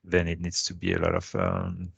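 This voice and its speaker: A man's voice: deep voice, sing-song, normal speed, vocal fry, slightly rough, dry recording. A man moderating a panel discussion, speaking hesitantly with many restarts and fillers.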